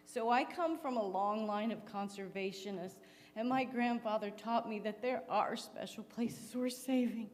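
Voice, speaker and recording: A woman's voice: fairly high pitch, a little slow. A woman, a conservation advocate, giving an earnest, story-like public comment at a forum. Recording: reverberant hall with faint background noise.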